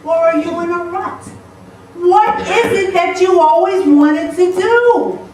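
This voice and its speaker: Woman, high bright voice, storyteller on stage, picked up from far off in an echoey hall. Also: slow. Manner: lively, playful, often laughing. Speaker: motivational speaker. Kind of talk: humorous storytelling talk to a live audience. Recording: echoey hall, mic far off, faint noise.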